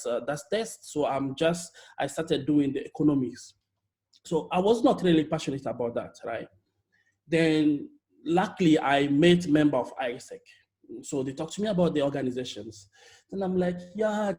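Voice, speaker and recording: A young man from Togo speaks English with a French accent, his voice sitting a little higher than most men's. He leads an online workshop over a video call with lively energy, often stumbling, repeating and restarting words as he goes. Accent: Togolese French. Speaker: youth leader speaker.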